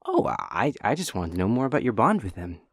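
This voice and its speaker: An actor voicing a hesitant, needling crew companion in a sci-fi audio roleplay, with a halting, stammering delivery. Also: medium pitch, big pitch swings, slightly rough, dry recording, studio quality. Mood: hesitant, probing. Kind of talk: anime-style audio roleplay acting.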